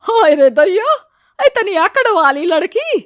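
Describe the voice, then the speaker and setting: Rustic aunt, acted by a female storyteller: scandalized, exclaiming, very high, loud, family drama. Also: big pitch swings, takes it slowly, no echo, clean studio sound, full character voice. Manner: exclaiming, indignant.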